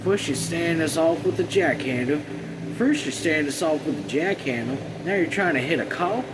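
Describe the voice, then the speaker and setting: A man's voice: a little high, normal speed, voice pushed up, natural voice. A man voicing an easygoing, tough young rural son with folksy, blunt speech, reading from a novel. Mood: teasing. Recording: loud steady air or car noise.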